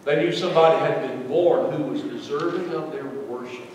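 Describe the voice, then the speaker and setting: A middle-aged man preaching a sermon, swinging between loud, emphatic lines and soft ones. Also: fairly high pitch, moderate pace, a little hiss or hum. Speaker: pastor. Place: large church sanctuary. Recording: echoey hall, voice from far off.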